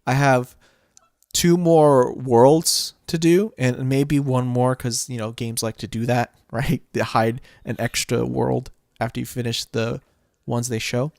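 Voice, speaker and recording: A man co-hosting a podcast, chatting casually about games, agreeable and quick to say yeah, now and then swearing offhand. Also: medium pitch, fairly even intonation, gravelly, darkish tone, no room sound, studio quality. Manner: agreeable, frequent yeahs, loose swearing. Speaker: podcast co-host. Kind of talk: casual gaming chat.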